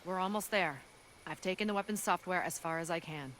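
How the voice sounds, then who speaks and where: A woman's voice: on the low side, fairly quick, a bit bright, slight background noise, lightly acted. A woman playing a grim, dutiful resistance fighter in a video game cutscene, speaking with earnest, serious urgency. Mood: urgent, serious.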